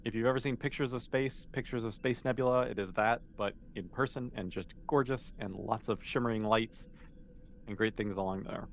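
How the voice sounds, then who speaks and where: A man's voice: mid-range voice, moderate pace, a little hiss or hum. A man speaking casually and informally as a game master, explaining and narrating a tabletop roleplaying game.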